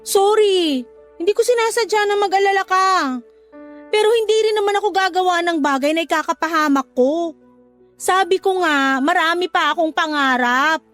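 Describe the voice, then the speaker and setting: A woman's voice: very high voice, moderate pace. An actress playing an outspoken, loyal young sister in an audio drama, who scolds her brother bluntly, comforts him tenderly, and narrates her own letter. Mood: reassuring. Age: young adult. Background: soft music under dialogue, louder music under narration.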